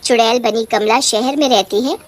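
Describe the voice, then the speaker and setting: A woman's voice: a little high, normal speed. A woman reading scripted narration for a cartoon horror story as the storyteller.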